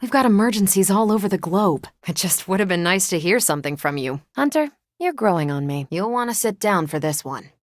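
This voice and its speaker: A woman's voice: medium pitch, lively intonation, brisk, hardly any pauses, dry recording, clean studio sound, lightly acted. A woman playing a confident, wry, competitive superhero soldier in a video game, talking in casual, self-assured banter. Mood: matter-of-fact. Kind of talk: video game voice acting, conversational dialogue.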